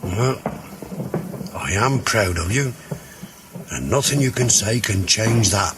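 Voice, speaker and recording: A man's voice: fairly low pitch, expressive, takes it slowly, noisy background. An actor playing a proud, stubborn old village grandfather in a radio play. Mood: stubborn pride. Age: older.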